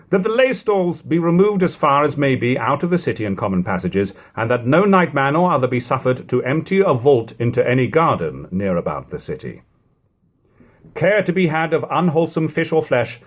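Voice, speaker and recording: A man reading an old book aloud in a measured, formal manner, in a lo-fi home recording. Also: mid-range voice. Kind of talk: audiobook reading of an old text with brief informal asides.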